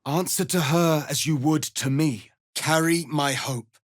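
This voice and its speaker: A man playing a proud, solemn alien warrior prince in a fantasy video game, speaking in a formal, commanding, deliberate way. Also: on the high side, fairly even intonation, no room sound, studio quality, lightly acted. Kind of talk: dramatic fantasy game acting.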